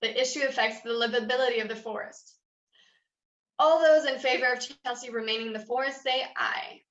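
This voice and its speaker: A woman playing a formal, firm official who chairs a meeting in a staged reading, speaking in a measured, authoritative way. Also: a little high, steady tone, fairly bright tone, lightly acted. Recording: roomy, dull lo-fi sound.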